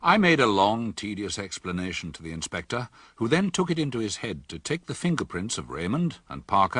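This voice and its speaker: An actor playing a reserved, dry village doctor in a radio drama, with a husky voice. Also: male voice, fairly low pitch, pushed and bright, heavily acted. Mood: wry narration. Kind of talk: radio drama acting and narration.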